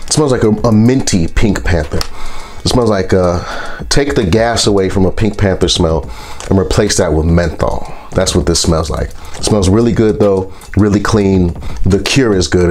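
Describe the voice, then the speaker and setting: A man with a congested, stuffy voice from a sinus infection, reviewing products in a relaxed, rambling vlog. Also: low-pitched. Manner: relaxed, rambling, with frequent fillers.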